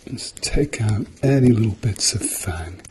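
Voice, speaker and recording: A man speaking softly to the listener as a doctor in an ASMR medical roleplay, murmuring reassuringly and often slipping into whispers. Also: lowish voice, lively intonation, a little slow, slight background noise. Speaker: ASMR performer playing a doctor.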